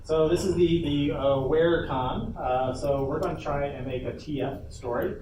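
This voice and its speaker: A man hosting an informal convention workshop, speaking casually with hesitant pauses as he explains things to the room. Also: fairly high pitch, dark tone. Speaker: panel host and presenter. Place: indoor convention panel room. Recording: roomy, distant mic, noisy convention room.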